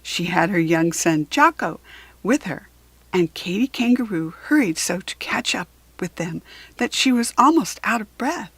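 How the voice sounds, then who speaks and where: Female storybook reader for children: expressive, deliberate, lively intonation, breathy-husky tone. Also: mid-range voice, no echo. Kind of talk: expressive read-aloud narration for children.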